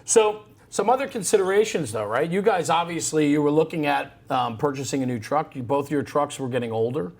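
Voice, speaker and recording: A man's voice: on the high side, animated, a little fast, hardly any pauses. A man hosting a conversational interview, recapping points and often checking them with a "right?". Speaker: interviewer host. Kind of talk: conversational interview about firefighting.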